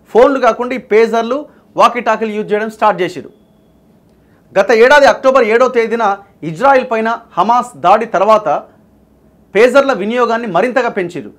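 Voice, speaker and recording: Telangana guy doing news commentary, pretty high voice, punchy and explains as he goes. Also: a little fast. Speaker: news presenter.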